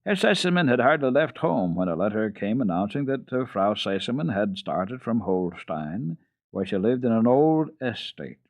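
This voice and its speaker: Man, children's radio storyteller, dramatic narration, fairly low pitch, very lively intonation. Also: moderate pace, no echo.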